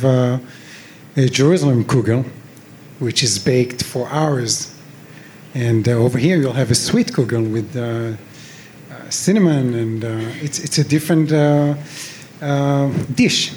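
A man who runs a kitchen speaks on a live panel at a medium pitch. He is hesitant and fills his pauses with "uh." Faint restaurant noise carries under his voice. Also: darkish tone. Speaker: chef with employees.

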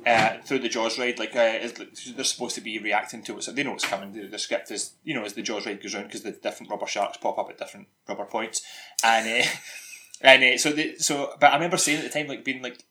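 A man's voice: fairly low pitch, fairly even intonation, fast, background noise. A young Scottish man co-hosting a podcast, rambling in casual, sweary, jokey chat. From Scotland. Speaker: podcast co-host.

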